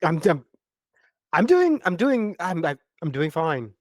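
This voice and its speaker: A man with a somewhat high voice who teases his co-host in a dry, wry way and stumbles over his sentence starts. The sound is dry and close to the mic. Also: very animated, measured pace, dry recording. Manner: wry, teasing, with hesitant restarts. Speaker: podcast co-host. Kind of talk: casual comedic podcast chat.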